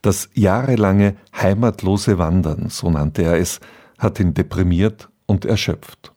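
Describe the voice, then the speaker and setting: A male radio presenter with a low, mellow voice that has a husky edge. He reads a scripted documentary introduction soberly and factually. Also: a little slow.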